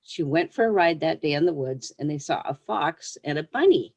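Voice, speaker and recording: A woman's voice: sits low, expressive, moderate pace, a little mellow. A woman who is a children's book author, speaking as a guest on a storytime show, reading aloud or chatting casually. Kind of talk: storybook read-aloud, then casual interview answers.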